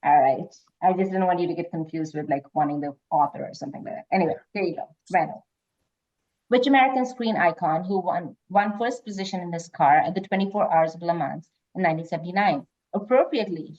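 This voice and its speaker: A woman with a fairly low voice works as a quiz host. She reads out questions and moderates informally, over slightly dull, lo-fi call audio. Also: brisk, darkish tone.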